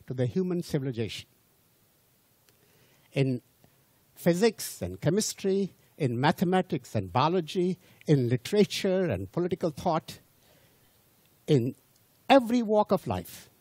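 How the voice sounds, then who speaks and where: A man from India, not a native English speaker, giving an unhurried public lecture to an audience and restarting and repeating words as he goes. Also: a little high, darkish tone, no room sound.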